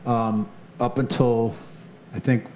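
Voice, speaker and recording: A man hosting a talk show, interviewing a guest in an earnest, halting way with many restarts. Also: mid-range voice, little pitch movement, darkish tone, dull audio, slight background noise. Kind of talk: conversational interview.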